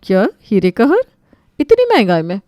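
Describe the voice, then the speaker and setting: A woman's voice: mid-range voice, huge pitch swings, moderate pace, warm tone, no room sound, studio quality. A woman voicing a stern, meddling older aunt who scolds indignantly in a family story.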